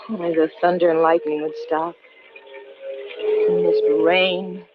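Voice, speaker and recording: An actress playing a frightened, pleading wife in an old-time radio thriller. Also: female voice, lowish voice, steady tone, slow pace, loud music behind the voice. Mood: dread. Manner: anxious, pleading. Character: terrified, pleading wife stranded in a car. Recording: muffled, noisy vintage radio recording.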